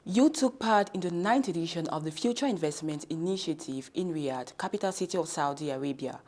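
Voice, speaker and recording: A woman's voice: low voice, animated, fairly quick, darkish tone. A woman working as a TV interviewer, putting scripted questions to a guest in a formal broadcast style.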